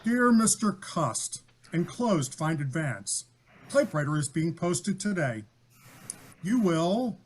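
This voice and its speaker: A man playing a brisk, businesslike police inspector in an old-time radio mystery, curt and authoritative. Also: fairly high pitch, big pitch swings, slight background noise. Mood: curious.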